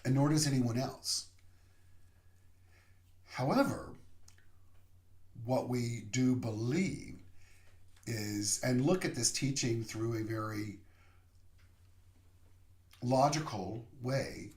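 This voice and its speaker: Slow reflective male minister, roomy sound. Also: mid-range voice, darkish tone. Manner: slow, reflective, pausing between phrases. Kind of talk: sermon. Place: room, via online service. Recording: roomy echo.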